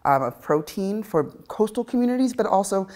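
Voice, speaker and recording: A woman's voice: mid-range voice, lively intonation, fairly quick. A woman, a marine conservationist, explaining her work in an interview with frequent laughs. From Bahamas. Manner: warm, laughs often, explanatory. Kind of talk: interview conversation.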